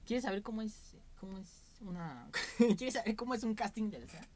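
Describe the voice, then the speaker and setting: A man's voice: high voice, very animated, moderate pace. A young Mexican man with a Mexican accent, telling candid stories in a casual, rambling podcast chat as its host.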